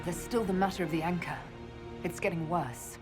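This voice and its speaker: A woman's voice: on the low side, normal speed, natural voice. A woman playing a firm, resolute leader, music behind. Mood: challenging. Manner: firm, direct, earnest. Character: resolute female military leader, earnest and challenging. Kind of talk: dramatic video game acting. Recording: loud orchestral game music behind.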